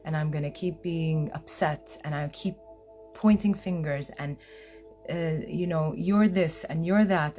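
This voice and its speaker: A woman's voice: low-pitched, mellow. A woman hosting a heartfelt live video, speaking earnestly and hesitantly, often restarting her sentences. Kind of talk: heartfelt personal live-stream talk.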